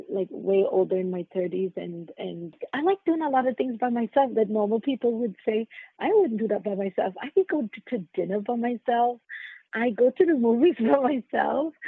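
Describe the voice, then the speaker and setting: A woman's voice: medium pitch, creaky, slightly rough, no room sound. A middle-aged woman with a Trinidadian accent, telling her own story conversationally as a podcast guest. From Trinidad and Tobago. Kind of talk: conversational interview answers, personal storytelling. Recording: narrow phone-like sound, dry.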